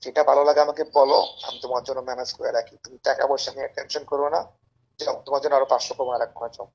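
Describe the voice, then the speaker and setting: A Bangladeshi man making an informal phone sales pitch in a pushy, persuasive, repetitive way. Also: level tone, very fast, gravelly, darkish tone, slightly rough, muffled recording. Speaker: caller pitching a service as a tout.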